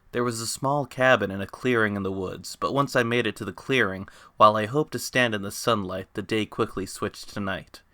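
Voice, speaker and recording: A man's voice: lowish voice, bright, forceful tone, no echo. A man giving a dramatic reading of fan fiction as its narrator. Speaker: author reading own story.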